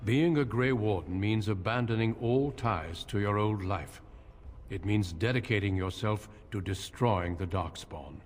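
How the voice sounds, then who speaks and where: An actor playing a courteous, grave veteran warrior in a video game, speaking in a formal, measured way. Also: male voice, on the low side, natural voice. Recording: reverberant hall, noisy game ambience.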